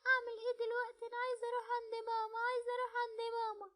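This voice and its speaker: A woman's voice: very high-pitched, flat delivery, rapid-fire, bright, forceful tone, pitched above the performer's own voice. A woman voicing a frightened, tearful little girl with an Egyptian accent, pleading and whimpering. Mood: scared. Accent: Egyptian Arabic.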